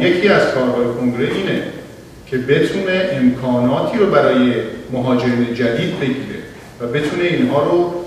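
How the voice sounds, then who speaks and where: A middle-aged man on a panel, answering an audience question in a measured, explanatory way with some hesitations, speaking into a handheld mic in a library auditorium. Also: mid-range voice. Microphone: handheld mic, heard from far camera. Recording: echoey auditorium from far off, faint hiss.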